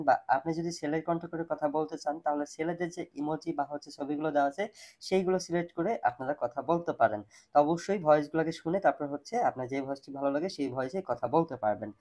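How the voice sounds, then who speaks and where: A man's voice: on the high side, dark tone. A man hosting a phone tutorial, explaining step by step in a repetitive, instructional way. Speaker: tech tutorial host.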